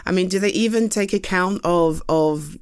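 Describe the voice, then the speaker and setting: A British woman in a roleplay, talking frankly and reassuringly to a friend, with halting restarts and a close studio mic. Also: fairly low pitch, clean tone. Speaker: audio roleplay performer.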